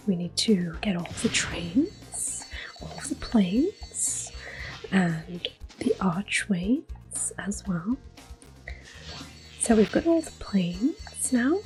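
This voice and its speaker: Slow, soft-spoken whispering woman. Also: medium pitch, poor audio. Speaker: ASMR gaming channel host. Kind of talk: ASMR gameplay commentary.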